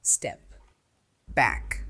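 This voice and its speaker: A woman acting a calm, measured character in a fan audio drama. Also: no echo. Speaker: voice actor.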